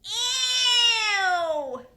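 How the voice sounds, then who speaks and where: A woman voicing a disgusted little preschool boy in a children's story, loud and whining. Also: very high-pitched, expressive, very slow, background noise, voice pushed up, full character voice.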